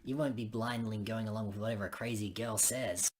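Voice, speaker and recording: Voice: medium pitch, fairly even intonation, a little fast, lightly acted. A woman voicing a chatty, blokey young friend in a visual novel, teasing and full of Australian slang.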